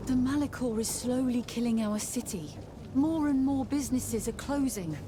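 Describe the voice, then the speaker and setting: Actress as gloomy high-voiced townswoman, noisy street. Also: normal speed, close to an everyday voice. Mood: resigned. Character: gloomy townswoman, resigned and troubled. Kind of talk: scripted video game acting.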